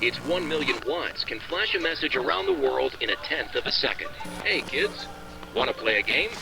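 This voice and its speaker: Gravelly ghostly radio announcer. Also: male voice, fairly high pitch, sing-song, normal speed, over music, poor audio. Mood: mocking, official. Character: taunting ghostly entity mimicking old military radio announcer. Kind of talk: 1940s radio announcer acting, quiz-master delivery.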